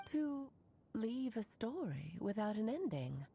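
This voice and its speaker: An actress playing an elegant, caring magical lady in a video game, articulate and analytical, placing deliberate emphasis on her words. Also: a little high, very animated, gravelly, mellow, slight background noise, exaggerated voice. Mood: thoughtful. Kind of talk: scripted video game dialogue.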